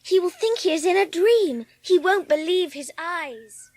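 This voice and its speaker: High, lively, blunt young girl. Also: a little slow. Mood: curious. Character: blunt, forthright young girl, unafraid to scold. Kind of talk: dramatised audiobook acting.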